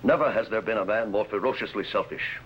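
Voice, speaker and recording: A man's voice: lowish voice, quick pace, close to an everyday voice. Man playing a grave rebel knight, dull recording. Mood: grave, indignant. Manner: formal, declamatory. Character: grave, indignant medieval English baron, a rebel knight. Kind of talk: 1950s television costume drama acting. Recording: dull, faintly noisy old TV soundtrack.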